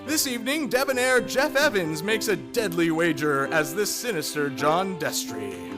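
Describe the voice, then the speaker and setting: A man's voice: high-pitched, animated, normal speed, over loud music. A man hosting as announcer in a theatrical old-time radio style, with a grandiose, showmanlike delivery. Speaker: host, announcer.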